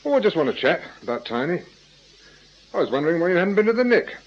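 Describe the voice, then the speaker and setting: Inspector, shrewd, dry, probing, swooping intonation, dull noisy radio drama sound. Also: male voice, high-pitched, sing-song, quick pace. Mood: probing, mock casual. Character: shrewd, dry male police inspector, probing and quietly insistent. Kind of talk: radio crime drama acting.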